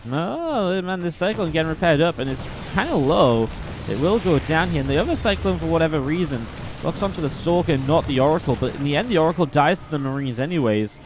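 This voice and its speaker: A man commenting play by play on a strategy video game match, a little sniffly from a cold, steady and then more excited in the fights. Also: fairly high pitch, a little fast, hardly any pauses, music in the background. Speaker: esports caster.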